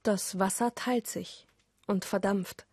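A woman narrating a German radio play as a solemn storyteller, breathy and husky, at times whispering. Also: medium pitch, fairly even intonation, takes it slowly, a little mellow, no room sound, clean studio sound. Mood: ominous, hushed. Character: solemn storyteller of a dreamlike flood disaster.

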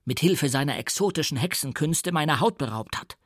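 A man's voice: a little high, animated, brisk, no echo, clean studio sound. A man voicing a furious count in an audiobook, indignant, formal and threatening. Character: furious, threatening count trapped in another man's body. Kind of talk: dramatic audiobook character reading.

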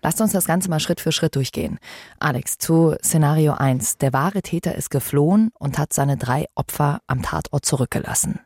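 A woman's voice: low voice, moderate pace, a little mellow, breathy edge, no echo, clean studio sound. A woman hosting a true-crime podcast in a conversational way, putting questions to the listeners. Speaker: podcast host.

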